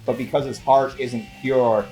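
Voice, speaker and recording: A man's voice: mid-range voice, fairly even intonation, measured pace, mellow, soft background music, poor audio. A man co-hosting a casual movie review, talking like an enthusiastic fan who swears freely. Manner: enthusiastic, profane fan talk. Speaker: podcast co-host.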